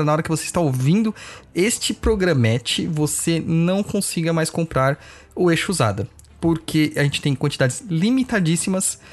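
A man with a Brazilian Portuguese accent hosting a podcast, chatting informally with his nose stuffed up from a cold. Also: fairly high pitch. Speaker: podcast host. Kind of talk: casual podcast update.

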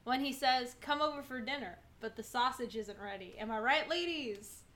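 A young woman chatting as a podcast guest, telling stories in an animated, jokey, slangy way. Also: fairly high pitch, normal speed. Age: young adult. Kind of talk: casual chat, comic storytelling.

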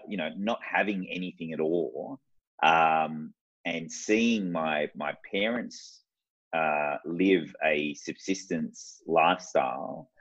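An Australian man in his forties, a guest telling his life story in an unhurried, reflective way. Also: a bass voice, a bit bright, dry recording. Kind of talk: casual interview talk. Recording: dull lo-fi sound.

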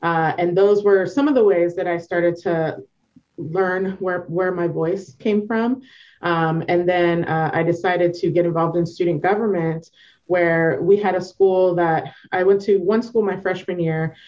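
Female, American accent, fairly low pitch, informal reflective interview guest, lo-fi muffled sound. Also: fairly even intonation, measured pace, darkish tone. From Northern Virginia, United States. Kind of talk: conversational personal storytelling.

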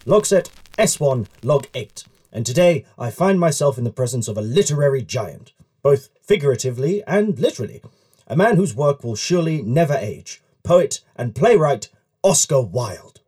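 A man playing an earnest, wordy gentleman time traveler in an audio drama, with formal period speech and a rambling manner. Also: mid-range voice, sing-song, moderate pace, lightly acted. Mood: grand, formal. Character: eager, flustered gentleman author and time traveler.

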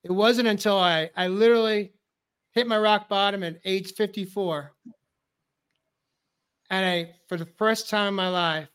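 A high-pitched man from the New York area hosts a men's talk show. He tells his story in a preacherly way and hammers key phrases through repetition. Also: steady tone, unhurried, pauses often, a bit bright, clean tone. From New York area, USA. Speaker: host. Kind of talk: heartfelt personal sharing on a men's talk show.

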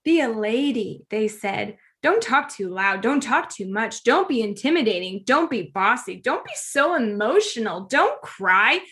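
A woman co-hosting a podcast, expressive and dramatic when reciting, quick to agree in chat. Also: on the high side. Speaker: podcast co-host. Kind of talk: casual chat, reads a spoken-word list.

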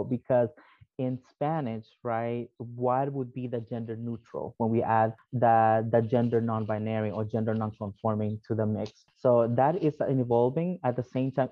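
A man from El Salvador answers interview questions in a relaxed, searching way, padding his thoughts with "right?" and "you know". The recording is dry and somewhat dull, like a lo-fi remote mic. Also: medium pitch, dry recording. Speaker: podcast guest, alumni network vice president.

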